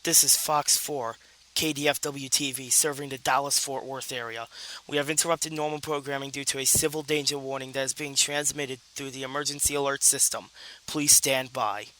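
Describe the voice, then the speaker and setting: A man reading a scripted station interruption announcement as a TV announcer, formal and deliberate. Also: mid-range voice, steady tone, bright, forceful tone, poor audio.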